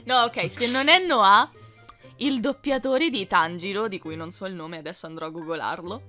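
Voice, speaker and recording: A woman's voice: mid-range voice, moderate pace, bright, forceful tone. A young woman chatting casually as she reads out and answers questions. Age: young adult. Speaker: YouTube fandubber answering fan questions. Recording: music playing behind. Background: music.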